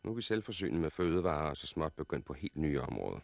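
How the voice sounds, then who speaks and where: An actor in a 1960s radio drama playing a confident, courteous helicopter pilot who explains things matter-of-factly as a messenger from a survivors' colony. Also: male voice, extremely low pitch, very animated, vocal fry, no room sound, heavily acted. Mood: courteous, businesslike.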